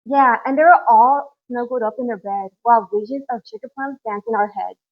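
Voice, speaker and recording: A woman's voice: on the high side, fairly quick, dry recording. A woman playing an eager child in a drama, playfully chiming in with recited lines, in muffled sound. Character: eager child of the Moore family. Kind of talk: short-film drama acting.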